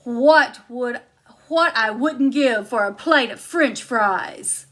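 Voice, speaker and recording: A woman voicing a grumpy, hungry giant husband who booms and complains. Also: takes it slowly, a bit bright. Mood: grumbling. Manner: booming, complaining.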